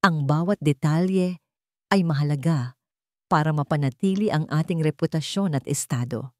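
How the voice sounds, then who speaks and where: A woman's voice: deep voice, moderate pace, no echo, studio quality, close to an everyday voice. A woman voicing a haughty, status-obsessed wealthy mother-in-law in a narrated story, stern and emphatic. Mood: cold, commanding. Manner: calm, emotionless, stern, emphatic delivery. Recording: music behind the voice.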